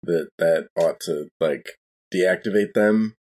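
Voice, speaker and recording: A man's voice: deep voice, slow pace, a little mellow, breathy edge. A man, chatting casually as a player in a tabletop role-playing game.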